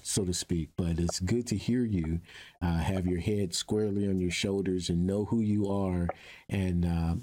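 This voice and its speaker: A man's voice: sits low, dark tone, slightly rough. A man hosting a conversational interview, putting his questions in a hesitant, wordy way. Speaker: interviewer, host.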